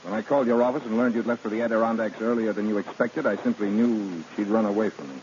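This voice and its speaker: An actor playing an earnest, determined rival in love in a vintage radio drama, speaking bluntly and insistently. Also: male voice, on the low side, fairly even intonation, quick pace, lightly acted. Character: earnest, determined man confronting his lover's husband. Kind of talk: 1930s radio drama acting. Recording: muffled, noisy old radio broadcast recording.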